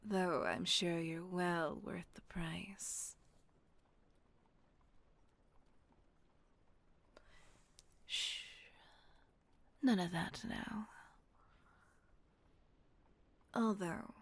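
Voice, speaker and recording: A woman playing a kind, refined vampire mistress in an intimate roleplay audio drama, speaking in a gentle, soothing, husky near-whisper. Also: lowish voice, steady tone. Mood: gently possessive. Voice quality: breathy, husky near-whisper with creaky edge. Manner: gentle, genteel, unhurried, soothing.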